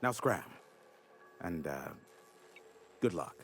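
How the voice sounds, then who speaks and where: A man's voice: low-pitched, very animated, slow, a little hiss or hum, heavily acted. An actor playing a brusque man who hands out jobs in a curt way.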